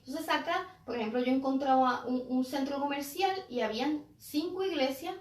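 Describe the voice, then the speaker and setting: A woman with a Puerto Rican accent takes part in a conversational discussion. Her voice sits fairly high. The recording is roomy and echoey, with her some way from the microphone. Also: normal speed. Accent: Puerto Rican Spanish. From Puerto Rico. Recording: echoey room, distant microphone.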